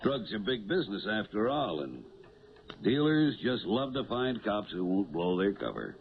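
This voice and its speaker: Male, low-voiced shrewd police lieutenant, lively inflection, genial and persuasive, vintage radio play. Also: moderate pace. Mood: wry, matter-of-fact. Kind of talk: 1970s radio drama acting. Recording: tinny, hissy 1970s radio broadcast.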